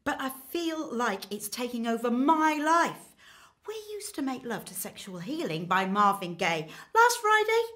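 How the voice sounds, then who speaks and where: A woman's voice: fairly high pitch, very animated, unhurried, a bit bright. A woman playing an exasperated, worried wife, reproachful and insistent, in a radio comedy. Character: exasperated, worried wife delivering firm ultimatums.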